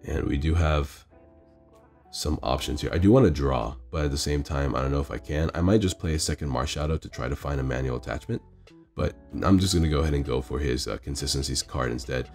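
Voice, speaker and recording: Hoarse man, very deep voice, quick self-correcting game commentary on a phone mic in a store. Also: a little mellow, soft background music. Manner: rambling, self-correcting strategy talk. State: hoarse, losing his voice from illness. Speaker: card game player commentating his match. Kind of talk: casual play-by-play commentary.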